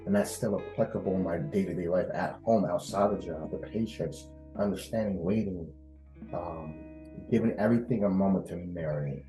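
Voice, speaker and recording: A man in his thirties talking casually as an interview guest, animated and expressive, repeating phrases for emphasis. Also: deep voice, moderate pace. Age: 30s. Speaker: podcast guest, air traffic controller. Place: indoors at host's house. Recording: roomy echo, faint music behind.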